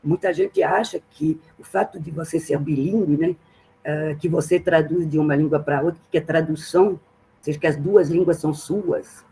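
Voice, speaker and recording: A woman's voice: low-pitched, moderate pace, creaky, mellow, slight background noise, rough recording. A woman from France with a French accent in Portuguese, giving a reflective academic talk as a guest speaker and thinking aloud with many fillers and tag questions. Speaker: guest speaker, translation professor. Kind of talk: academic talk in an online seminar.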